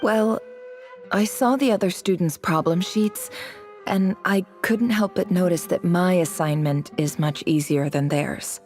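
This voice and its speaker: A woman playing a shrewd, composed young student from a desert land in a video game, with a cool, measured, wry delivery. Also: lowish voice, a little mellow, soft background music, natural voice. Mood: polite, probing. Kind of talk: scripted game dialogue and narration.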